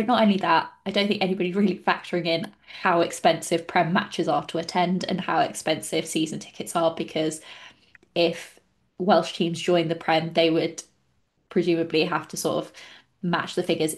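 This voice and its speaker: Woman, English accent, slightly low pitch, casual podcast panellist, emphatic, outspoken. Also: steady tone, moderate pace. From England. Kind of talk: casual opinionated discussion.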